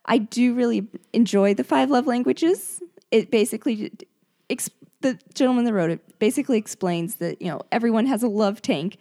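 A woman hosting a book show in casual conversation, her voice hoarse and gravelly and prone to cutting out. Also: fairly high pitch, normal speed, mellow. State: hoarse, gravelly voice that comes and goes. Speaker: host of a book discussion show.

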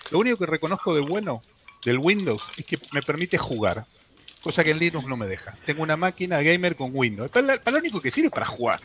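A man's voice: on the high side, sing-song, fast, a bit bright, a little hiss or hum. A man with an Argentine accent giving opinions in casual chat, repeating phrases for emphasis.

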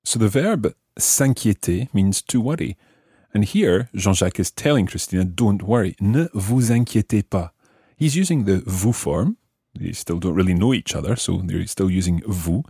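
Male language tutor: low, slightly rough voice, close dry sound, didactic, repeats key phrases. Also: expressive, normal speed, mellow, no room sound. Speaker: language lesson presenter. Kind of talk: explaining French grammar phrase by phrase.

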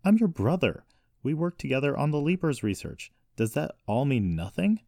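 A man's voice: medium pitch, sing-song, brisk, dark tone, no echo, clean studio sound, pitched above the performer's own voice. A man playing an indignant, wounded young man in an audiobook story, incredulous and defensive. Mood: hurt, indignant.

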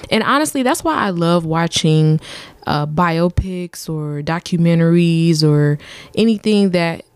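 A woman's voice: lively intonation, measured pace, clear, resonant tone, no echo. Chatty young woman hosting a podcast. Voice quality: voice sounding a bit deep lately. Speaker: podcast host. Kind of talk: casual solo podcast chat.

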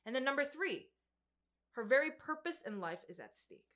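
Young woman, mid-twenties, higher pitch, crisp forceful brightness, conversational sermon delivery, informal, emphatic. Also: sing-song, moderate pace. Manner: informal, emphatic, with youthful slang. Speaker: preacher. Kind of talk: sermon to a group, conversational Bible exposition.

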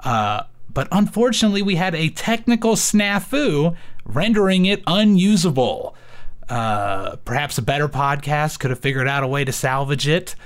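A young man co-hosts a podcast in a slightly high voice. His pitch jumps around a lot as he teases his co-host. His chat is joking and playfully sarcastic. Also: animated, normal speed.

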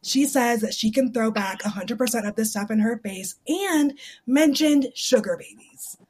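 Woman, podcast host, slightly high pitch, husky, casual gossipy recap, explanatory, sweary. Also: normal speed, darkish tone.

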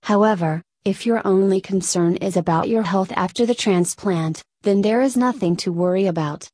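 A woman narrator reads a scripted feature story in a measured way. Her voice is mellow and relaxed, sitting at a middle pitch, and the sound is very dry with no room around it. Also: slightly rough, no echo.

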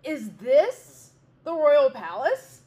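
A woman doing a scornful loud bear. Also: high-pitched, sing-song, drawn-out words, lo-fi sound, pitched above the performer's own voice, full character voice. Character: pompous male bear, rude and loud.

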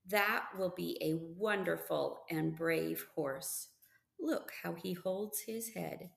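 A woman voicing an eager, gentle thirteen-year-old farm boy in a storybook reading, earnest and excitable. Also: on the low side, slow pace, creaky, reverberant, full character voice. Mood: admiring.

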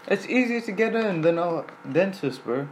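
A man's voice: high-pitched, expressive, moderate pace, noisy background. Joking young male podcast host. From India. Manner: loose, joking, slangy. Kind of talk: casual chat among friends.

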